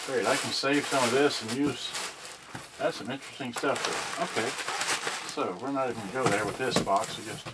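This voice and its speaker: A man talks casually to the camera as he handles things, thinking aloud in loose, halting fragments. His voice sits at an ordinary middle pitch. The recording picks him up from a distance, with heavy noise and clicks around him. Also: fairly even intonation. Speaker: vlogger unboxing and testing a vintage cassette radio. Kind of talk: unscripted casual narration while handling items.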